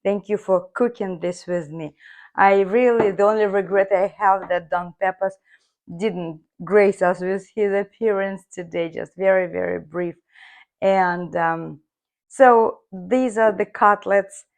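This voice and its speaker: A woman hosting a cooking video from her home kitchen, her voice hoarse, chatting viewers through each step. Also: mid-range voice, steady tone, measured pace, a little mellow. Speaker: cooking channel host. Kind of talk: casual cooking demonstration.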